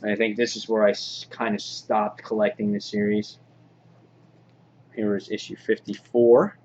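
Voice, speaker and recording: A man giving an informal, halting show-and-tell commentary as a hobby vlog host, in an understated way. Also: fairly low pitch, flat delivery, darkish tone. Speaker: vlog host showing a comic book collection. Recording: dull lo-fi audio, clear background noise.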